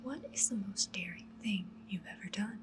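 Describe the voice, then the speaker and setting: A woman whispering softly in an ASMR roleplay as a polite, attentive matchmaker, with a breathy voice and gentle, unhurried questions. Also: medium pitch. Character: polite, attentive female cyberpunk matchmaker interviewing a client. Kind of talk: soft-spoken whispered ASMR roleplay interview. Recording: clear ambient noise behind voice. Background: sci-fi ambience, writing sounds.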